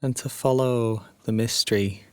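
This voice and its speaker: A man guiding a sleep meditation as a narrated story, soothing and hushed, leaving long pauses between phrases. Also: medium pitch, steady tone, clear, resonant tone, no echo.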